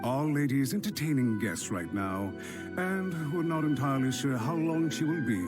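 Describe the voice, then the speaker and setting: An actor playing a formal, polite retainer of a noble house in a game. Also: male voice, mid-range voice, animated, normal speed, natural voice. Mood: courteous. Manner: formal, polite, eager to please. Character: deferential male clan retainer, formal. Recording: game audio with music underneath. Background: game music.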